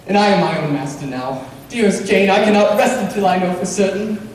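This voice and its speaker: A man playing an earnest, lovestruck young gentleman in a period stage play, speaking in formal romantic declarations. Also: high voice, expressive, fairly quick, slight background noise. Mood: earnest, pleading. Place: theatre stage. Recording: echoey stage recorded from far off.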